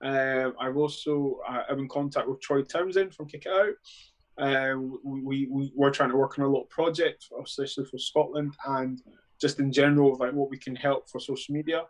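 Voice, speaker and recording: A man's voice: medium pitch, steady tone, normal speed, poor audio. A man in his thirties with a London accent, giving blunt, dismissive opinions in a casual panel chat over a video call. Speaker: guest panelist.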